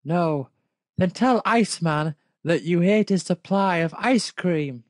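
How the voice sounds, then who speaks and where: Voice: medium pitch, animated, takes it slowly, no echo, clean studio sound. A person reading fan fiction aloud and breaking off to question and poke fun at it off the cuff. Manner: breaks off to question and mock the text. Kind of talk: amused read-aloud with off-the-cuff commentary.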